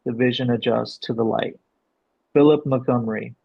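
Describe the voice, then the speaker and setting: A man working as a director, explaining and reading out a script, with a gravelly, husky voice. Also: mid-range voice, steady tone, normal speed. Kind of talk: explanatory coaching and script narration. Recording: dull, lo-fi sound.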